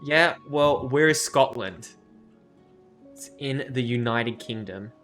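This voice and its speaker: A man's voice: mid-range voice, takes it slowly, fairly bright tone. Man bantering on a game stream, faint music. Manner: sweary, argumentative teasing. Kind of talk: casual banter while livestreaming a game.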